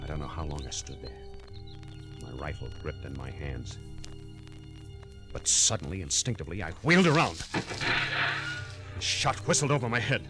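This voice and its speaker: A man's voice: deep voice, expressive, moderate pace, long pauses, loud music behind the voice. An actor playing an uneasy, suspicious widower in an old-time radio thriller, tense and hesitant, brooding as he narrates. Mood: tense, fearful.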